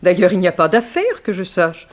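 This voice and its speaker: A woman's voice: fairly low pitch, sing-song, fairly quick. A woman playing a dignified, wronged upper-class wife in a 1960s French radio drama, speaking in a formal, precise, genteel way. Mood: guarded.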